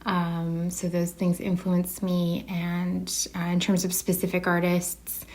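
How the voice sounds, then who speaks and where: Canadian woman with a slightly low voice. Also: monotone, takes it slowly, darkish tone. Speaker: artist being interviewed. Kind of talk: casual, unscripted interview answers.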